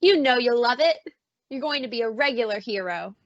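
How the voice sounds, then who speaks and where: A woman playing a doting middle-aged mother in an old-time radio drama, fond and gently persuasive. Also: a little high, expressive, brisk, no echo, highs cut off. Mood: fond, reassuring.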